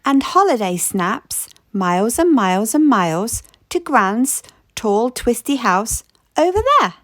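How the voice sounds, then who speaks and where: A woman reads a children's picture book aloud, unhurried and playful. Her voice is fairly high and swings widely in pitch, and the recording is close and dry with no room sound. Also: dry recording. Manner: slow, expressive read-aloud with playful rhythm. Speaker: storybook reader.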